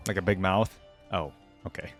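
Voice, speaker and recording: A man's voice: deep voice, moderate pace, soft background music. A man giving casual, easygoing commentary as a reaction video host, musing aloud.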